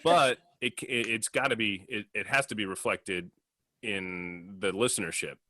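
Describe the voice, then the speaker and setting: A man with a fairly low voice talks casually as a podcast host. He rambles a little and feels his way through sentences, recorded dry and close. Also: moderate pace, pushed and bright, dry recording. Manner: hesitant, rambling, laughs easily. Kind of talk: casual discussion.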